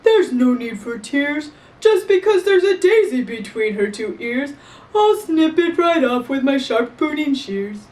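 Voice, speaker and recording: Woman voicing a brisk florist, high-pitched. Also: lively intonation, a little mellow, distant mic, a little hiss or hum, poor audio. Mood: brisk reassurance. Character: calm, brisk florist ready with pruning shears.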